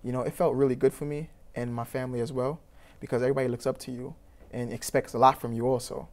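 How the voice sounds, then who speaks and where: Young Trinidadian man, program founder, fast and earnest with frequent restarts. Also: medium pitch, flat delivery, a little mellow. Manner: earnest, rambling, many fillers and restarts. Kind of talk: interview answers.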